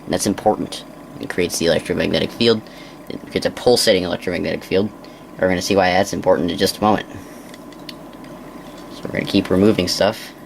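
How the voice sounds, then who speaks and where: A man giving an unscripted hands-on demonstration in a halting, casual mutter as he works, on an amateur recording. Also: low voice, fairly even intonation, vocal fry, a little hiss or hum, poor audio. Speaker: presenter of a hands-on science demonstration.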